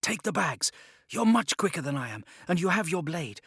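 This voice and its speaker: A man's voice: on the high side, big pitch swings, moderate pace, fairly bright tone, husky, dry recording, studio quality, pitched above the performer's own voice, full character voice. A man voicing a cautious, brave older youth in an audiobook, speaking seriously and urgently. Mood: desperate, urgent. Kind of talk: audiobook character dialogue.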